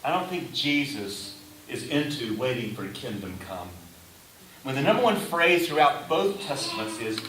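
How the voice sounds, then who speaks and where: A man preaching a sermon in a deliberate way, with rhetorical questions and emphatic short phrases. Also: medium pitch, sing-song, a bit bright. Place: reverberant indoor room before a congregation. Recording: echoey room, distant mic, faint hiss.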